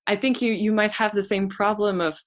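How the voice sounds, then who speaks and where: A woman's voice: medium pitch, steady tone, fairly quick. A woman, a singer, talking casually as a podcast guest, with many restarts. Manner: hesitant, many restarts and laughs. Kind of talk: casual conversation.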